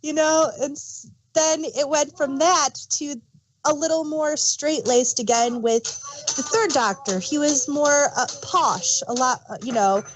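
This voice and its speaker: A woman's voice: high voice, unhurried, faint music behind, rough recording. A woman co-hosting a casual fan discussion, rambling and searching for words as she gives emphatic examples. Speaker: podcast co-host.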